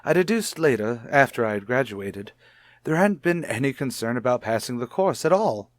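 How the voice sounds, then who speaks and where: Guy playing calm reasonable husband in an old radio soap, soothing, explains things patiently, middle pitch. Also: moderate pace, no echo, natural voice. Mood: reflective. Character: reasonable, soothing husband confiding in his anxious wife. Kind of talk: mid-century radio soap acting.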